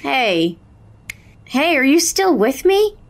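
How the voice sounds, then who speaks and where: Actress as a supportive young friend, unhurried, higher-pitched. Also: female voice, sing-song, heavily acted. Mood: reassuring. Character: supportive, teasing young female best friend of a hero. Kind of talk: audio drama acting, conversational.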